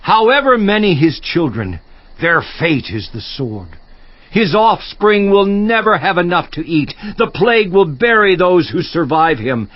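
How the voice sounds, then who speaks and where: A man's voice: fairly high pitch, no room sound. An actor playing an anguished, defiant righteous man in a theatrical, emphatic scripture monologue. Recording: slightly muffled audio.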